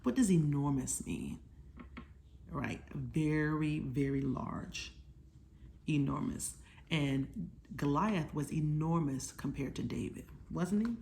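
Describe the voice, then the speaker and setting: A woman with a slightly low voice leads an informal lesson for children. She explains things in simple, conversational language. Like a teacher, she often poses questions and checks that her young listeners understand. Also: slow, vocal fry, darkish tone, slight background noise. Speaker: children's storytime host.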